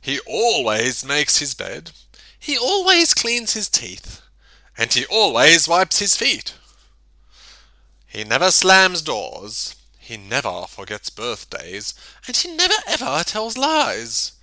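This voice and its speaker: A man reading a children's story aloud in an expressive, theatrical way, with emphatic delivery and dramatic pauses. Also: fairly high pitch, no echo. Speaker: storybook reader.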